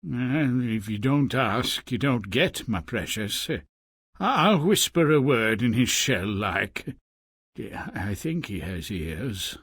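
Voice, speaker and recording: A man's voice: medium pitch, expressive, takes it slowly, a bit bright, slightly rough, no room sound, clean studio sound, exaggerated voice. A man playing a meek, doting fisherman husband, hesitant and apologetic, in a fairy-tale game. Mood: meek, placating.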